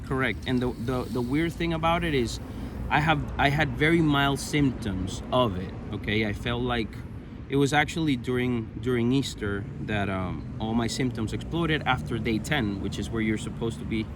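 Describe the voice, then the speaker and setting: A man in his thirties with a Venezuelan Spanish accent shares personal experiences in a halting, conversational way, often searching for the right word. His pitch is mid-range. Clear outdoor noise with traffic runs behind him. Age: 30s. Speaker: interview guest.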